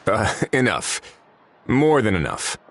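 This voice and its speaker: An actor playing a composed, watchful official in a video game, speaking in a measured, musing way. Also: male voice, lowish voice, very animated, exaggerated voice. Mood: calm, assertive. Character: composed watchful male official observing suspects.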